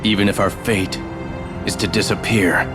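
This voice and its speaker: An actor playing a resolute, earnest hero in a fantasy video game, with a serious, determined manner. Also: male voice, lowish voice, fairly even intonation, moderate pace, music in the background, natural voice.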